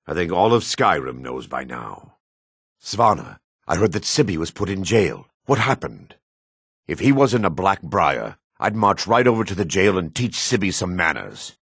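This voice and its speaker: A man voicing a gruff, dry-mannered dark elf warrior and townsman in a fantasy video game, with a gravelly, husky voice. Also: low voice, big pitch swings, normal speed, dry recording, full character voice. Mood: resigned. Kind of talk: video game character voice lines.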